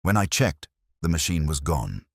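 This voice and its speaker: A man playing a brooding, reflective police inspector, narrating a literary mystery in a measured, solemn, introspective way. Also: very deep voice, very animated, no echo, studio quality, full character voice. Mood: pensive, sombre.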